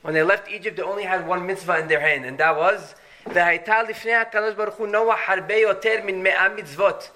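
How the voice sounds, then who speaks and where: A man's voice: high voice, steady tone, brisk, pushed and bright, slight background noise. A man born in Syria, a middle-aged rabbi giving an earnest Torah lecture that climbs to emphatic peaks.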